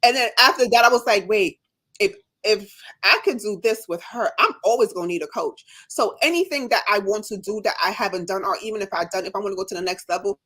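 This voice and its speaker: A woman with a slight Jamaican accent, talking fast and with emphasis as she tells her own story in a casual live chat. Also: mid-range voice, pushed and bright, rough recording. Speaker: nurse and business owner, guest.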